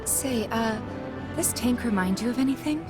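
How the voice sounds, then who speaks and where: A woman acting a gentle young woman in a video game. Her voice is slightly high and she speaks tentatively, with music clearly heard underneath. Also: normal speed, natural voice. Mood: shy, nostalgic.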